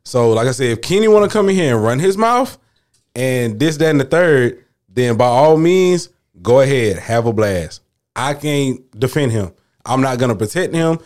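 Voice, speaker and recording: A man's voice: mid-range voice, lively intonation, normal speed, darkish tone. Loose, slangy man telling a story. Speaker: podcast guest. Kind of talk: casual podcast debate.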